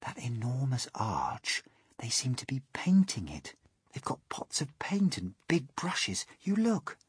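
A man's voice: high-pitched, very animated, takes it slowly, slightly rough, dry recording, clean studio sound, voice pushed up, exaggerated voice. A man voicing an earnest young boy who talks in urgent whispers. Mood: hushed.

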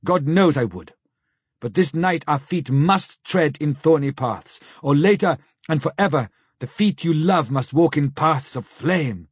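A man voicing an old male professor who speaks gravely, sternly and with pity, in slow, solemn, pleading phrases for an audiobook. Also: fairly high pitch, animated, no room sound, clean studio sound. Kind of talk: audiobook character reading.

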